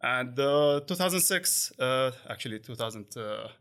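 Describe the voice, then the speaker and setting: A man talks through his career as a podcast guest. His bright, forward tone carries a mid-range pitch, and he pauses often on fillers and restarts. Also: expressive, clear, resonant tone. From Lebanon. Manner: halting, with frequent fillers and restarts. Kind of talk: conversational interview.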